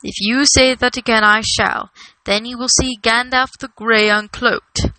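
A woman voicing a grave, stern old male wizard in a storybook, firm, patient and deliberate. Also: fairly high pitch, fairly even intonation, pushed and bright, no room sound, poor audio. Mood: commanding. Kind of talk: storybook character reading.

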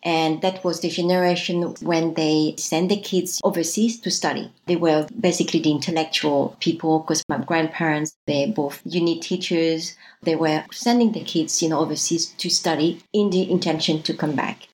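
This is a woman in her fifties with a notably low voice, a native French speaker. She recounts her upbringing as an interview guest, reflective and sincere, often opening her sentences with "so". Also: fairly even intonation, normal speed, hardly any pauses, a little mellow, poor audio. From France. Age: 50s. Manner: starts many sentences with "so", earnest and reflective. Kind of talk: reflective personal storytelling.

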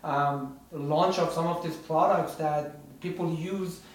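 A man co-hosts a casual podcast with a slightly raised voice. He speaks earnestly and in measured phrases, often pausing on "um" and "you know." He sounds far from the mic, in a very echoey room. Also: a little high, steady tone. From Iran. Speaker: podcast co-host.

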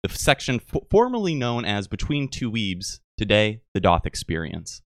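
A young man hosting a podcast interview, joking and halting, with frequent restarts. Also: on the low side, big pitch swings, a bit bright, dry recording, studio quality. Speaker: podcast host.

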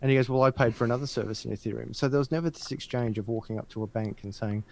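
A man's voice: medium pitch, racing, vocal fry, rough recording. A man talking casually as a channel host, making points and telling anecdotes in a conversational style.

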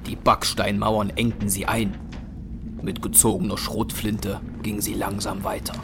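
A man's voice: lowish voice, monotone, a little slow, vocal fry, noisy background. A man narrating an action horror audio drama in a dramatic, scripted style.

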